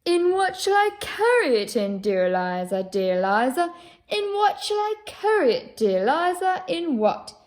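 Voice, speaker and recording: A woman voicing a hapless, helpless man from a children's storybook, with plaintive, drawn-out sing-song questions. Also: sits high, sing-song. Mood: helpless complaint.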